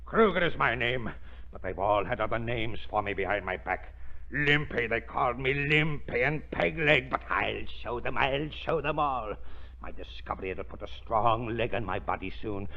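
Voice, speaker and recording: An actor playing a crazed, bitter killer who rants obsessively in 1930s radio melodrama style. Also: male voice, mid-range voice, animated, normal speed, close to an everyday voice. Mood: bitter, defiant. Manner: ranting, obsessive, repeats words. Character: crazed, bitter, limping male killer and experimenter. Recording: muffled old 1930s radio recording.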